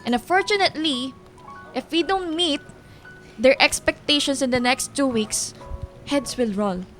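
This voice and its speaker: A woman's voice: sits high, unhurried, fairly bright tone, faint music behind. An actress playing an imperious, threatening female marketing boss in a radio drama, speaking formally and sternly.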